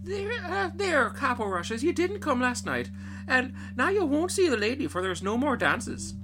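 A man puts on a gossipy fairy-tale housemaid, a young woman chattering in a high, sing-song voice. She sounds excitable, with music playing underneath. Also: moderate pace, exaggerated voice. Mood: excited, gossipy. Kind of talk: animated storybook reading.

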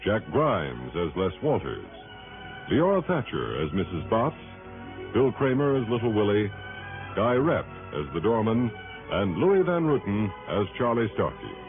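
A man's voice: on the low side, big pitch swings, a little slow. A man working as a 1960s radio announcer, reading scripted credits in a formal, declamatory way. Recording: narrow-band old radio recording over music. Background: music.

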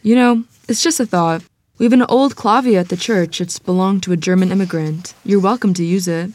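A woman's voice: medium pitch, a little fast, darkish tone, no room sound, natural voice. A woman acting a sturdy, proud, church-going Southern teacher in her fifties in a screenplay table read, firm, motherly and matter-of-fact. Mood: relenting.

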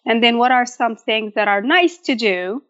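A woman's voice: a little high, normal speed, a bit bright. Egyptian-born woman blogger chatting as a guest, laughs easily, kinda muffled lo-fi call audio. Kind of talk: casual interview chat.

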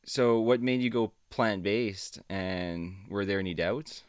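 A man's voice: fairly low pitch, takes it slowly, no room sound, clean studio sound. A man hosting a podcast, asking questions with brief friendly prompts. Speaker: podcast host. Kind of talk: casual interview hosting.

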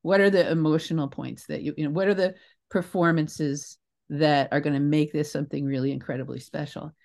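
A woman's voice: low voice, fairly even intonation, brisk, creaky, a little mellow. An older American woman giving conversational interview answers in a reflective, emphatic and digressive way. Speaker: interview guest, theatre creator.

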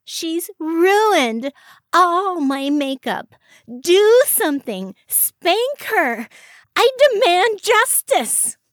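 A woman voicing a furious, dramatic young aunt in an audiobook, shrill, wailing and indignant. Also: sing-song, very slow, dry recording, studio quality, exaggerated voice.

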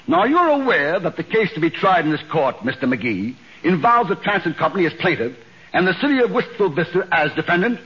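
An actor playing a polite, businesslike attorney who questions formally and hesitantly in a 1940s radio comedy. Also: male voice, high-pitched, very animated, fairly quick, fairly bright tone. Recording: noisy muffled 1940s radio recording.

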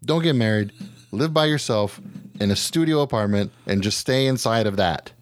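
Low-voiced man, sweary casual podcaster. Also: moderate pace, dry recording, clean studio sound. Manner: profane, self-deprecating, rambling. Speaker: podcast co-host. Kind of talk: casual comedic podcast chat and ranting.

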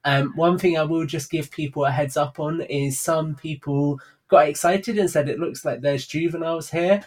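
A man's voice: on the high side, steady tone, moderate pace, darkish tone. A man speaking as a podcast guest, explaining things in casual, enthusiastic, informal chat.

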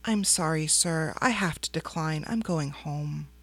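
A woman voicing a guarded young female detective, speaking softly and hesitantly. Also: mid-range voice, animated, normal speed, lightly acted.

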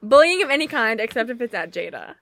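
A woman's voice: on the high side, very animated, fast, heavy background noise. A woman in her twenties, co-hosting a casual chat podcast with friends, giggly and chatty. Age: 20s. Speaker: podcast co-host.

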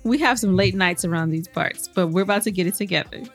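A woman's voice: fairly low pitch, fast, soft background music. A young woman co-hosting a podcast in casual chat, playful and teasing. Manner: playful, laughs often, teasing. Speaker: podcast co-host.

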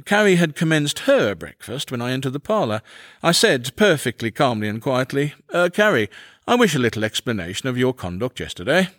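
A fussy Victorian gentleman diarist with an English accent. His mid-pitched voice rises and falls expressively, earnest and self-important in a comic period narration. The sound is close and dry. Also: big pitch swings, moderate pace, no room sound. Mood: fussy, aggrieved. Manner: pompous, precise, earnest. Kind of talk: acted comic diary narration.

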